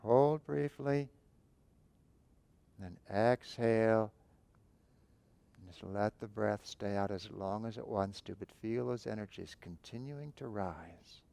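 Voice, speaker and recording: A man guiding a breathing meditation, giving calm, unhurried instructions with long pauses between phrases. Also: on the low side, creaky. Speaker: meditation teacher.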